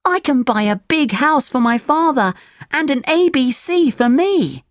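A woman voicing a naive, earnest, excitable puppet boy in a children's storybook. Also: high voice, measured pace, no room sound, clean studio sound, pitched above the performer's own voice. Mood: dreamy, excited.

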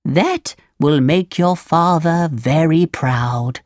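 A woman voicing a kindly, solemn old fairy who gently admonishes in a children's storybook. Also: low-pitched, no echo, studio quality. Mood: advising. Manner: slow, drawn-out, gently admonishing.

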